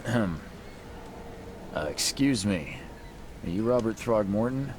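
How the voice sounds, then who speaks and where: An actor playing a polite, persistent, world-weary private investigator in a video game, measured and understated. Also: male voice, fairly low pitch, expressive. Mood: polite, probing. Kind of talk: video game dramatic acting. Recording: game audio with clear background noise.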